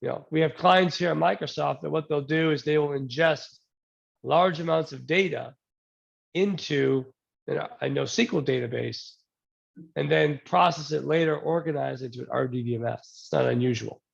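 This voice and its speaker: A man getting over a cold, giving a relaxed technical presentation to a user group over a video call, with plenty of ums and restarts and the odd joke. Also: a little high, moderate pace, gravelly, muffled recording. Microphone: new desk mic, then a headset.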